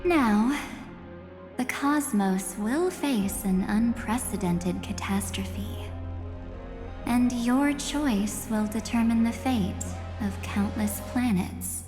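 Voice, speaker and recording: A woman playing a coolly taunting, sinister antagonist in a game dub, deliberate and teasing, with phrases trailing into breathy whispers. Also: medium pitch, darkish tone, close to an everyday voice. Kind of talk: English game dub voice acting. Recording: echoey hall reverb, music behind.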